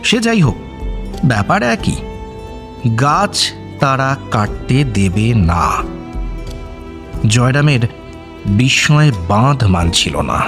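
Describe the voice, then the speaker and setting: A man narrating a scripted radio story in an expressive, dramatic manner. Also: mid-range voice, slow pace, long pauses, music in the background.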